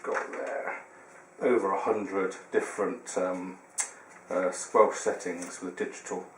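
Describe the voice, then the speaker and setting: A roomy, echoing recording with some background noise carries a man's voice. He talks in a lowish register, walking through a product demonstration informally, one step at a time and a little hesitantly. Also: steady tone, slow. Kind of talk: informal hands-on product tutorial. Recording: roomy echo with background hiss.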